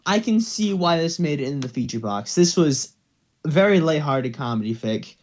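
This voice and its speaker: Guy with a slightly high voice just chatting, kinda rambly, slightly dull lo-fi mic. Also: animated, moderate pace, a little mellow. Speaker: co-host of a fan fiction reading channel. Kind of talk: casual chat.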